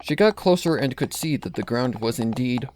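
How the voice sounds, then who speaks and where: A man narrates a written story in the manner of a home audiobook. His pitch sits in the middle of the male range. A faint noise runs under the recording. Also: lively intonation, normal speed, darkish tone. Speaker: narrator, fan fiction reader.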